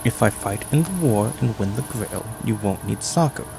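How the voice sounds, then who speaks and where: A man's voice: on the low side, animated, moderate pace, gravelly, over music. A man playing an earnest, desperate protector of a child in a comic anime parody. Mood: grimly determined.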